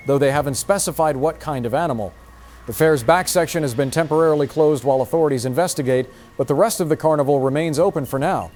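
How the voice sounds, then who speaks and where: A man acting as a composed local TV anchor in a radio drama. His voice sits a little high for a man. He delivers the news in measured, formal phrases. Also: darkish tone, lightly acted. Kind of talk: scripted broadcast news anchoring.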